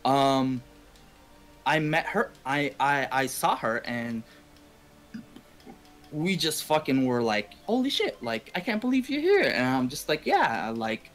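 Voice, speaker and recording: A man's voice: medium pitch, very animated, slow pace, a bit bright. A man from Canada who streams games, telling personal stories in a casual, repetitive ramble full of swearing. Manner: profane, heavy swearing, repetitive. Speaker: game livestreamer. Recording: music playing behind the voice. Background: video game music and game dialogue.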